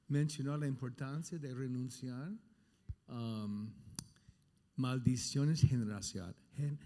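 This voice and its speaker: Slow, mellow older man, non-native speaker. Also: medium pitch. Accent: English-speaking, non-native. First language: English. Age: 60s. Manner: halting, searching for words. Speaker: pastor on a question panel. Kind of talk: unscripted personal testimony and teaching. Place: large hall at a conference. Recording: reverberant hall sound.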